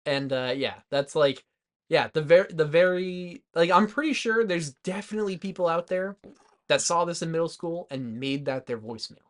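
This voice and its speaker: Higher-pitched man chatting casually, rambling. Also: normal speed. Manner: rambling, peppered with "like". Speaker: podcast co-host. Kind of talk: casual movie chat.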